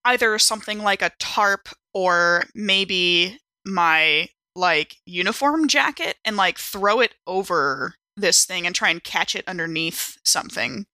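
Woman, tabletop game player, bright forward voice, casual chat, dry sound. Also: fairly low pitch, a little slow, few pauses, no room sound.

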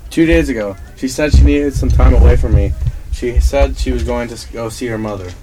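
A man's voice: medium pitch, fairly quick, natural voice. A man playing a hapless, protesting murder suspect in an amateur old-time radio drama, on a noisy recording. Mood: defensive. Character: hapless cheating neighbor, a murder suspect under questioning.

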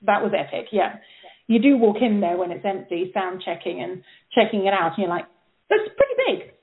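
A woman opera singer from England and Austria, telling stories in a lively, laughing, chatty way as a guest on a talk show. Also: medium pitch, a little fast, creaky. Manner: chatty, laughing, animated storyteller. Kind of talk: casual chat. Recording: tinny phone line.

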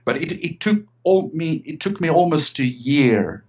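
A man's voice: fairly high pitch, lively intonation, measured pace, darkish tone. A middle-aged man from Finland with a Finnish accent, talking eagerly as an interview guest and often repeating words as he restarts. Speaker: guest, writer and filmmaker. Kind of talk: casual interview chat.

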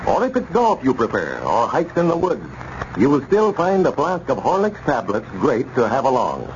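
Male American 1930s radio announcer, highly animated scripted pitch, dull recording with faint noise. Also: moderate pace.

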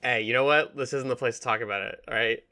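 A man's voice: mid-range voice, quick pace, bright, forceful tone. A man co-hosting a podcast, chatting in a casual, joking and rambling way with some swearing. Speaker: podcast co-host. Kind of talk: casual comedic chat.

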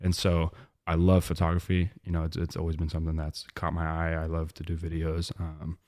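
A young man from Missouri, a guest on a faith podcast, talking in a relaxed, rambling way with lots of fillers and restarts. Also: extremely low pitch, brisk. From southwest Missouri. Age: young adult. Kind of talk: casual faith podcast interview.

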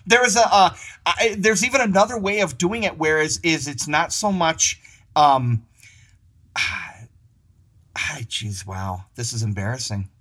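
A man's voice: a little high, animated, measured pace, a bit bright. A man hosting a casual interview, talking in a rambling way with many restarts and laughs. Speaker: podcast host.

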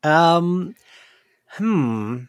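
A man's voice: on the high side, sing-song, drawn-out words, clean tone. A man hosting a casual podcast chat, talking in a chatty, self-deprecating way. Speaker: podcast host.

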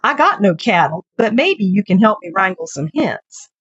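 A woman's voice: mid-range voice, very animated, normal speed, a bit bright, slightly rough, dry recording, muffled recording. A woman voicing a kindly, folksy old henkeeper with rural turns of phrase in a short story reading. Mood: folksy puzzlement.

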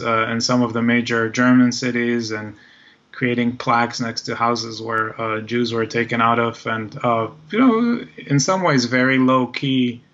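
A man's voice: mid-range voice, fairly bright tone, muffled recording. A man from Israel in a thoughtful conversation, talking like an academic and often searching for words.

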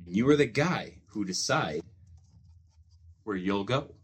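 A man reading a children's book aloud as host, with measured phrasing and expressive emphasis. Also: lowish voice, slightly rough. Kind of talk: storybook read-aloud.

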